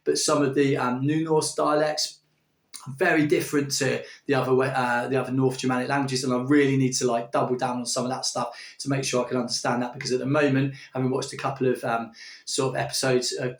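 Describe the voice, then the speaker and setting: A man's voice: medium pitch, flat delivery, a little fast. Enthusiastic man in an echoey room. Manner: enthusiastic, rambling with many fillers. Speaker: language-learning YouTuber. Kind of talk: casual vlog monologue. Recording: echoey room, distant from the mic.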